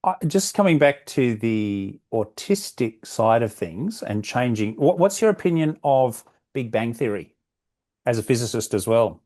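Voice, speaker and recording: Male host on a video call, relaxed and conversational. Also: mid-range voice, unhurried. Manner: chatty, asks questions, laughs easily. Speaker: webinar host. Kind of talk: webinar conversation.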